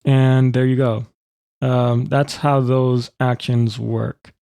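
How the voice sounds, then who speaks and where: A man presenting an informal, step-by-step software demonstration. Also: mid-range voice, little pitch movement, slow, gravelly, smooth, faint music behind. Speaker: tutorial presenter.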